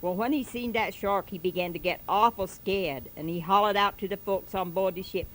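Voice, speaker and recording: A woman's voice: medium pitch, moderate pace, fairly bright tone, close to an everyday voice, rough recording. A woman voicing a fervent old-time male preacher who tells a parable in a drawling dialect, exhorting his congregation with repetitive asides. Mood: preaching. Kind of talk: comic dialect sermon recitation.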